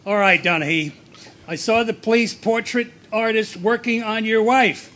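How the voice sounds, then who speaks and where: A man playing a deadpan police lieutenant in a live comic radio play. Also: sits high, moderate pace.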